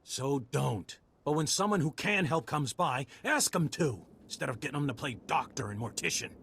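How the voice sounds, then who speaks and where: A man's voice: a little high, animated, normal speed, gravelly, fairly bright tone, slight background noise. A man voicing a blunt, feisty frontier militiaman with a folksy manner in a video game. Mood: frustrated.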